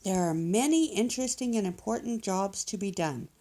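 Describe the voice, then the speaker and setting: A woman's voice: medium pitch, animated, moderate pace, dark tone, a little hiss or hum, natural voice. A woman voicing an enthusiastic male professor bear who explains things in an encouraging, emphatic way, like a children's storybook guide. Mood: earnest, encouraging.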